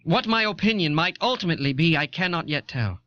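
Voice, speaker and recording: An earnest 18th-century statesman speaking in formal, deliberate oratory. The voice is high and forceful, with sweeping intonation. It comes from a dramatized history recording. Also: animated. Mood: earnest, reserved. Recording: dull old audio cassette.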